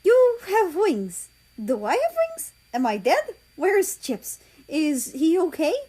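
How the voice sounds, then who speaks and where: Woman as an anguished man, pitched up and exclaiming. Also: sing-song, slow pace, warm tone, heavily acted. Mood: anxious questioning. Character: tall anguished man, tearful and emotional. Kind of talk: audiobook character voice.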